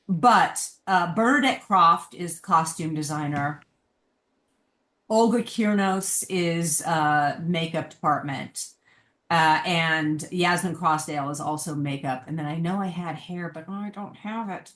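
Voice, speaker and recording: A woman's voice: lowish voice, a little slow, fairly bright tone. A woman speaking informally and emphatically as an opinionated panelist in a casual discussion. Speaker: podcast panelist. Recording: roomy, echoey sound.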